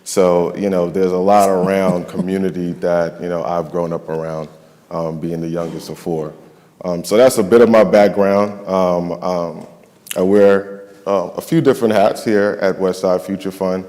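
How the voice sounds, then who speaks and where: A man's voice: deep voice, level tone, moderate pace, warm tone, in a large hall. A young man from the Bahamas, a nonprofit finance and facilities staffer, speaking on a summit panel with many ums and restarts. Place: large event hall.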